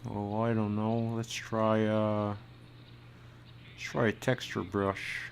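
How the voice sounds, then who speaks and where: A man gives a casual, think-aloud drawing tutorial. His speech is slow and murmured, as if half to himself, with a creaky fry in the voice. There is some noise behind him. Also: on the low side, flat delivery. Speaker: storyboard artist giving a drawing tutorial.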